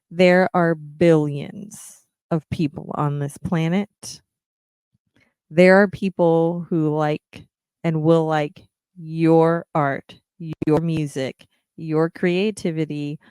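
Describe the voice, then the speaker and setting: Podcast host, female, slow encouraging delivery, smooth voice, dry close mic. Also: sits low, steady tone, darkish tone, dry recording. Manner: earnest, encouraging, many ums. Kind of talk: reflective solo podcast talk.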